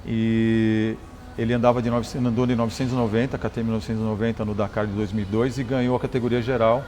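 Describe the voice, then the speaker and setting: A Brazilian man, a motorcycle engineer and rally champion, telling stories in a relaxed interview, often restarting words and adding "né?". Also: on the low side, fast talker, slight background noise. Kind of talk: conversational interview storytelling.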